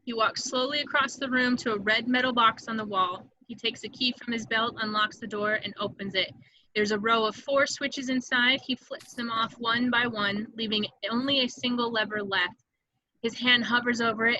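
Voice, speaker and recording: A woman narrates stage directions from a screenplay. Her voice is fairly high and crisp-edged bright, reading descriptively. The recording is a little dull, as from a home mic. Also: fairly even intonation, normal speed, slight background noise.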